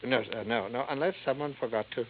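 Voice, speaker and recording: An actor playing a fussy, nervous middle-aged art dealer who talks in a flustered, prim way, in a 1950s radio drama. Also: male voice, medium pitch, fast, lightly acted. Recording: tinny lo-fi old radio broadcast with faint hiss.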